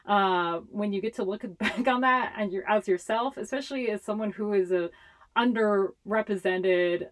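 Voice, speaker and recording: A woman's voice: mid-range voice, moderate pace. Chatty young Hawaiian woman, laughing easily. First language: Hawaiian Pidgin English. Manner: chatty, laughs often while talking. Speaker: public health communicator, guest. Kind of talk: casual interview talk.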